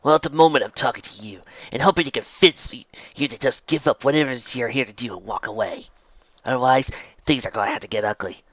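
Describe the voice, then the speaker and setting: Laid-back teen lad, read by a male narrator, mid pitch, confident, wry and a little mischievous, audio story. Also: fast, fairly bright tone, dry recording, dull audio, natural voice. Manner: casual, wry. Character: easygoing, confident, mischievous eighteen-year-old boy.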